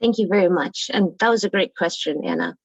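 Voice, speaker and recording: A woman's voice: medium pitch, animated, a little fast, slightly rough, no echo, low-quality recording. A woman chairing a student association meeting, calling roll and introducing questions in a casual, informal way. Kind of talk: running a meeting.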